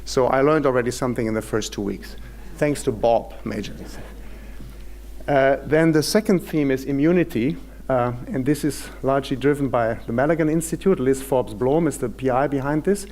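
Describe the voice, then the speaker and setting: A man's voice: mid-range voice, moderate pace, darkish tone, a little hiss or hum. A man with a German accent presenting at an industry forum in a hotel conference room, speaking formally with frequent hesitant fillers.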